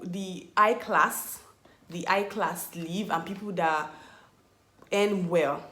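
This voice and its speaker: A young Nigerian woman in her twenties, talking casually to camera. She rambles, corrects herself and often searches for words. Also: on the low side. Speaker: vlogger. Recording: echoey room.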